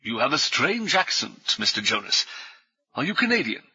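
Man doing a puffing old professor, fussy, husky bright tone, flat dry audio. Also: mid-range voice, normal speed, voice pushed up, full character voice. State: out of breath. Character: older bearded professor, fussy and winded. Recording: dull, dry lo-fi audiobook recording.

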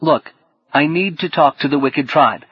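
A man's voice: fairly high pitch, measured pace, breathy edge, no room sound, dull audio, pitched above the performer's own voice. A man playing an earnest, insistent teenage boy in an audiobook, with an urgent, emphatic delivery.

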